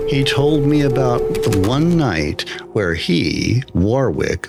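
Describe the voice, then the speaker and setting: A man narrating a scripted first-person horror story as a storyteller. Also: mid-range voice. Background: music.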